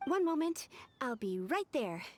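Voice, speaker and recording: High, animated young official. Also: female voice, a little slow, a little hiss or hum. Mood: courteous. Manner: formal, explanatory, polite. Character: diligent, courteous young female official on duty. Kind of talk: video game voice acting.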